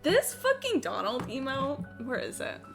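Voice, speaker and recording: Sweary, chatty female game streamer, high swooping voice, casual livestream talk, faint music behind. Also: wildly swooping pitch, measured pace, vocal fry. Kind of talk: casual livestream chat over gameplay.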